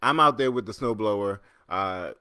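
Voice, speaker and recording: Rambling low-voiced man from Trinidad. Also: normal speed, sharp tone, smooth, dry recording, studio quality. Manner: rambling, chatty, many restarts and fillers, reads comments aloud. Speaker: host. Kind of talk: casual livestream chat. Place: home in New York City.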